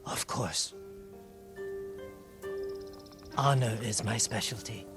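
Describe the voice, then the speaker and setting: An actor playing an excitable, roguish sake merchant and hapless schemer in a video game cutscene, wheedling and theatrical. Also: male voice, on the low side, normal speed, vocal fry, over music. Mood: ingratiating.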